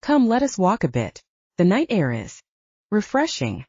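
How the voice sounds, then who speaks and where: A woman's voice: medium pitch, huge pitch swings, moderate pace, mellow, slightly rough, dry recording. A woman voicing a grandiose, effusive male scientist and gourmet in an audiobook, with a pompous, oratorical manner. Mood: expansive, elated. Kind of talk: audiobook character voice. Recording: dull, muffled dry audio.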